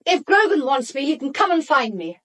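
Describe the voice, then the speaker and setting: A woman's voice: high-pitched, big pitch swings, brisk, fairly bright tone. An actress with a British accent playing a bitter, outspoken woman in a radio drama, blunt and emphatic. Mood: bitter, agitated.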